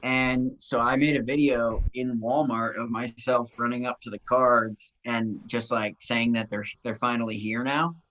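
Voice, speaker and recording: Chatty, excitable man co-hosting a show. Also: medium pitch, a little slow, few pauses, rough recording. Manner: excitable, chatty, swears casually. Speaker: podcast co-host. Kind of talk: casual chat.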